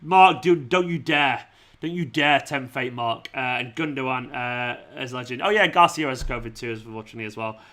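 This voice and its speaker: A man's voice: mid-range voice, moderate pace, a bit bright. A man hosting a football watchalong livestream, chatting casually and matily to viewers, his voice starting to go. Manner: informal matey address, often saying "man", "mate" and "pal". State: losing his voice. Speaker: fan channel livestream host.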